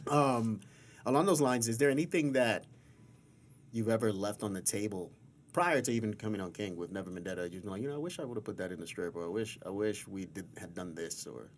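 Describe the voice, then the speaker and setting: A man's voice: lowish voice, expressive, fairly quick, a little hiss or hum. A man hosting a casual interview, informal and affirming, asking rambling open questions. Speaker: interviewer, podcast host.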